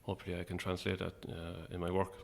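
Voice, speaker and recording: A man's voice: low-pitched, completely flat delivery, fast talker, vocal fry. A middle-aged Irish man chatting casually and modestly as an interview guest about his work. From Ireland. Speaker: guest, playwright and actor.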